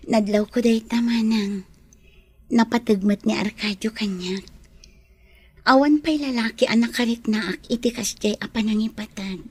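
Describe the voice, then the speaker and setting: A woman with an Ilocano accent playing a gentle, frail young lady in a radio drama, her voice slightly husky and soft. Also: fairly high pitch, a little slow, pauses often. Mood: tender.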